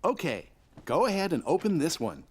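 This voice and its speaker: A man voicing a gentle, patient father in a children's cartoon, soothing and encouraging. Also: a little high, huge pitch swings, normal speed, slight background noise. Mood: playful, encouraging. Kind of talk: children's cartoon acting, storybook telling.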